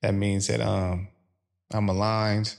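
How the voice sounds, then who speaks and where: A man from Philadelphia, speaking as a podcast guest in a calm, measured way with frequent pauses. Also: on the low side, creaky. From Philadelphia, USA. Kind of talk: reflective interview conversation.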